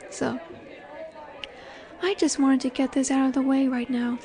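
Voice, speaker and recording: Woman acting a high-voiced, slow-teasing werewolf alpha girl. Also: level tone, dark tone. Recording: bar crowd ambience behind voice. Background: bar chatter ambience.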